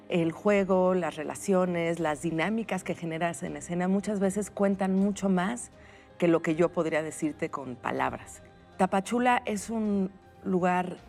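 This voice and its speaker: A woman's voice: on the low side, darkish tone. A woman with a Mexican accent answering interview questions in a reflective, informal, conversational way. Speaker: interview guest, playwright and stage creator.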